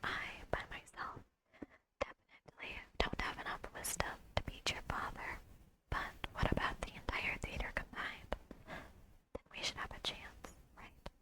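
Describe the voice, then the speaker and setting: A woman reads video game dialogue aloud in a soft, deliberate, breathy whisper, close to the microphone in ASMR style. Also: rough recording.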